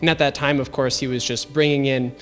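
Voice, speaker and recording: A man's voice: medium pitch, flat delivery, brisk, a bit bright, soft background music. A man in his thirties, raised in Tanzania, eagerly explaining his research as an interview guest, with many fillers. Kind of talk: interview answers.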